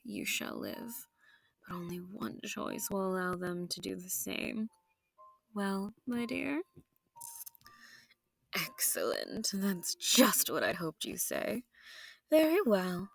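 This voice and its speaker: A woman playing a centuries-old, sadistic and possessive female vampire in an intimate audio roleplay, silky and taunting, slipping into breathy whispers. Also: medium pitch, dry recording. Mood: commanding. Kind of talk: intimate scripted audio roleplay addressed to the listener.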